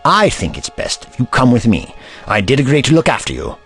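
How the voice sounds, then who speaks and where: An actor playing an eccentric, kindly and curious investigator in an audio drama, pensive and courtly in manner. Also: male voice, mid-range voice, big pitch swings, fairly quick, fairly bright tone, soft background music. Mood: grave, kindly.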